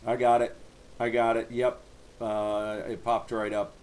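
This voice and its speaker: A man's voice: mid-range voice, level tone, a little slow, darkish tone, slight background noise. A man chatting casually over ham radio as an operator and streamer, in a laid-back, slangy way.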